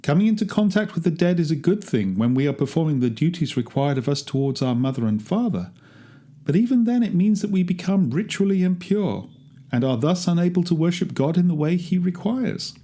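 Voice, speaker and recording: A man's voice: fairly high pitch, expressive, fairly quick, warm tone, pitched above the performer's own voice. A man voicing a fussy, pious rabbi lecturing didactically in a storytelling monologue. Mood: preachy. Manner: preachy, didactic.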